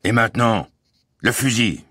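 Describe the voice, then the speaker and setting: A man voicing a huge, officious, bullying guard in a storybook reading, with a gravelly, shouting, menacing delivery. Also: medium pitch, sing-song, normal speed, dry recording, studio quality, pitched above the performer's own voice, full character voice. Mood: officious threat. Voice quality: raspy, gravelly.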